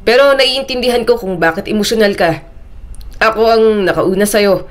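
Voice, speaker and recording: A woman's voice: normal speed, close to an everyday voice. A woman voicing a bewildered but considerate young man in an audio romance story. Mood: earnest, apologetic. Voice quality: deep.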